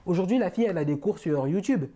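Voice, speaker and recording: A man's voice: sits high, lively intonation, quick pace, warm tone. Young man from Congo explaining points. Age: young adult. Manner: explanatory, repeats points, frequent fillers. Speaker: panel guest. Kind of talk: panel discussion.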